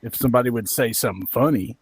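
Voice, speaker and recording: A man's voice: medium pitch, fast, background noise. A man playing golf with friends in casual banter, giving short, dry replies.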